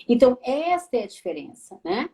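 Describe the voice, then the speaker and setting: A woman with a southern Brazilian gaúcho accent, a therapist hosting a live talk, lively and speaking straight to her viewers with frequent tag questions. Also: fairly high pitch, fairly quick. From Rio Grande do Sul, Brazil.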